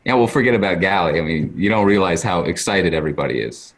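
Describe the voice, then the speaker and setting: Deep-voiced, halting, kindly young guy. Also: expressive. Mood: eager, encouraging. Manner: halting, informal, with trailing phrases. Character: kind, wistful, eager boy, loyal friend. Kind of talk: actor's table read over video call. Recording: noisy video call with clicks.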